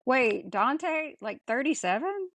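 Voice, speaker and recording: A woman's voice: sits high, very animated, slow pace, fairly bright tone. A woman co-hosting a podcast in casual chat, with an informal, emphatic way of talking. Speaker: podcast co-host. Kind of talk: casual chat about a sci-fi film.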